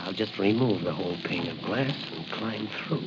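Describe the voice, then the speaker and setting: A man's voice: lowish voice, normal speed, lightly acted. An actor playing a bold, determined masked crime-fighting patrolman, thinking aloud in clipped remarks in 1940s radio style. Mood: wary, intent. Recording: noisy, muffled 1940 radio recording.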